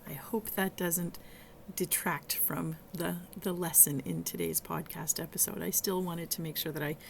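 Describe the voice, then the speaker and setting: A middle-aged woman hosts a solo coaching podcast, her voice hoarse and gravelly and pitched on the low side. She explains things in a conversational way and sometimes stops and restarts a phrase. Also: expressive, a little hiss or hum. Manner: warm coaching tone, conversational with some halting restarts. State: hoarse, rough voice. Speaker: podcast host and virtual assistant coach.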